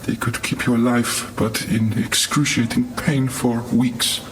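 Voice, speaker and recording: An actor playing an embittered mine manager who survived torture, giving stark, deliberate testimony in an audio drama. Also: male voice, medium pitch, little pitch movement, fairly bright tone, close to an everyday voice. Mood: grim, bitter. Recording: noisy ambience behind the voice.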